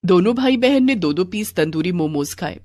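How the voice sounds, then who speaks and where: A woman's voice: on the low side, expressive, moderate pace, a little mellow, dry recording. A woman narrating a scripted moral bedtime story for children.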